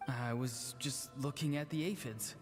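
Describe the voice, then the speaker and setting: A man's voice: medium pitch, a little slow, close to an everyday voice. An actor playing an earnest, melancholy young man in a video game who feels weak, speaking in a subdued, introspective way. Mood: wistful. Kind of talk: dramatic video game voice acting. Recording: reverberant game audio under clear music.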